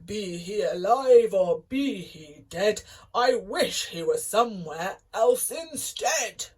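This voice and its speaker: A woman voicing a huge, grumpy male giant who roars his lines in a booming chant. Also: lively intonation, takes it slowly, husky. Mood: roaring.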